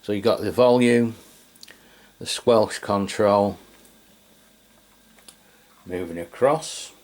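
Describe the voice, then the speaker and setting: A man hosts a casual hands-on review in a deep voice. He talks in a matter-of-fact, rambling way, feeling for his words as he goes. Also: slow, a little mellow, slight background noise. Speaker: radio review host.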